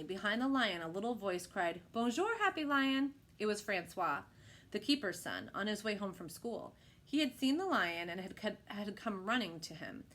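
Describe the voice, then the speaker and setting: Woman, expressive storybook narration, medium pitch, children's read-aloud. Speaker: storyteller reading a picture book aloud.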